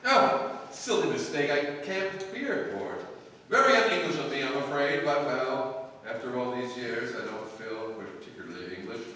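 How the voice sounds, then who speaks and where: A man's voice: mid-range voice, animated, moderate pace, bright, forceful tone, a little hiss or hum. Man acting suave villain, cavernous echo. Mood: suave, cynical. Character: smooth, devious male expatriate English impostor villain. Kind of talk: old-time radio drama acting. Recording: echoey hall, distant mic.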